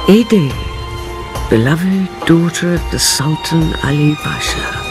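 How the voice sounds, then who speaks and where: A stately, devoted woman telling her tale straight to the listener. She is solemn and dramatic, speaking slowly with wide swings of pitch over music. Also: animated. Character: devoted, stately female storyteller, the count's companion. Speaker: narrator. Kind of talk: scripted radio drama narration.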